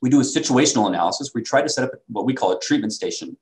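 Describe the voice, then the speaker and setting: A man's voice: medium pitch, animated, rapid-fire. A man presenting for a medical device company in a sales talk over slides, recorded on a video call indoors.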